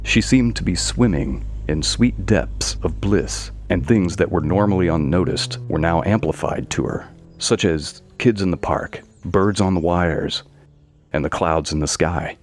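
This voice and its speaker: Super deep voiced guy narrating an audio story, reading from a script.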